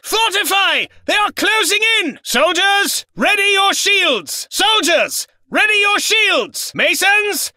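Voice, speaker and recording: Snobby nobleman for a medieval battle game: pompous, very high, wildly swooping delivery. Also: sharp tone, no echo, studio quality, exaggerated voice. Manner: pompous, florid, declaiming. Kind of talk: video game battle voice lines.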